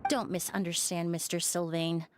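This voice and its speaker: A woman playing a composed young perfumer in a video game, politely formal and shrewdly probing in measured sentences. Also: on the low side, level tone, noisy background, close to an everyday voice. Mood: composed, serious. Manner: measured, formal, pointed questioning. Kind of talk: scripted video game dialogue.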